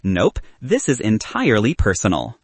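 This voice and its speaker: Man voicing a brisk, husky teasing bridesmaid. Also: lowish voice, huge pitch swings, no room sound, full character voice. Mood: bossy, playful. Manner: bossy, playful. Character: brisk, teasing professional bridesmaid, a capable young woman.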